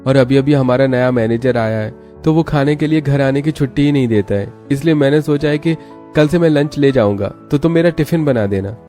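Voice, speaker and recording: A calm young husband from a cartoon story, voiced by a man in a mellow, dark tone. He explains things evenly to his wife. Faint music runs underneath. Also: mid-range voice, brisk, close to an everyday voice.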